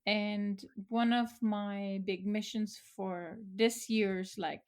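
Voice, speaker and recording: Middle-aged woman, mid pitch, earnest and a bit halting. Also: fairly even intonation. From Austria. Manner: earnest, with fillers and restarts. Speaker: photographer, guest. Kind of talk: interview answers.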